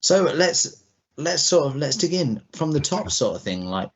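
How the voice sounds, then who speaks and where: A man's voice: a little high, very animated, a little slow. A man in his thirties co-hosting a podcast, chatting casually in a loose, rambling way. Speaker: podcast co-host. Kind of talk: casual chat. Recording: dull lo-fi podcast audio.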